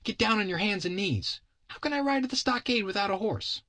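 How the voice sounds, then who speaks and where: A man's voice: sits high, lively intonation, fairly quick, bright, forceful tone, no echo, voice pushed up, exaggerated voice. A man voicing a bossy, whiny little boy playing at being a frontier scout in an audiobook. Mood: bossy, excited. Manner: whiny, demanding, folksy grammar. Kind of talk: audiobook character voice.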